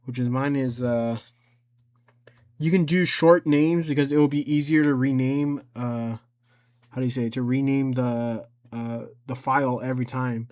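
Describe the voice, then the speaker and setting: A man gives an informal computer tutorial in a mid-pitched voice. He talks off the cuff and haltingly, often repeating a step or correcting his own wording as he goes. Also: fairly even intonation, darkish tone. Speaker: tutorial creator. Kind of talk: casual unscripted screen-recorded tutorial. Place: voice-over for a screen recording.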